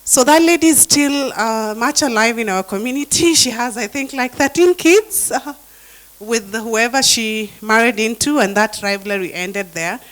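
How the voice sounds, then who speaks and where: A woman's voice: fairly high pitch, normal speed. Hesitant woman on a video call. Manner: hesitant, many fillers, soft-spoken. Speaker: workshop participant, translator. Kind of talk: casual discussion on a video call.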